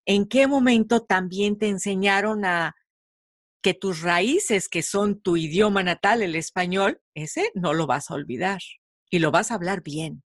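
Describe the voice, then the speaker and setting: A woman interviewing a guest, asking questions in an attentive, gently probing way. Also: medium pitch, measured pace, no echo, studio quality. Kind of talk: conversational interview.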